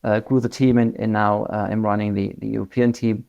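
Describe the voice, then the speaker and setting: A man's voice: on the low side, little pitch movement, a little fast, gravelly, dull audio. A man from Germany whose English carries an Australian accent, speaking as a company director in a business interview, hesitant and full of fillers and restarts. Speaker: managing director, interview guest.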